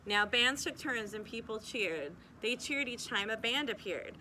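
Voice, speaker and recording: Young woman reading a rhyming kids book out loud, bright voice, steady bouncy rhythm, little hiss behind. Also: on the high side, a little slow, poor audio. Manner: steady rhythmic read-aloud with small slips and restarts. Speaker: reader.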